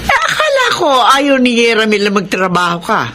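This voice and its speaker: A woman's voice: fairly high pitch, wildly swooping pitch, moderate pace, pushed and bright, heavily acted. A Filipino actress playing a friendly, concerned older female relative in a radio drama. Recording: noisy radio drama recording.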